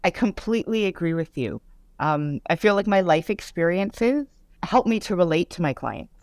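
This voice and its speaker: A woman's voice: fairly low pitch, animated, normal speed, a little mellow, no room sound. A middle-aged woman hosting a legal podcast, chatting enthusiastically with fillers as she interviews her guest. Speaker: podcast host, lawyer. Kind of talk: casual interview conversation.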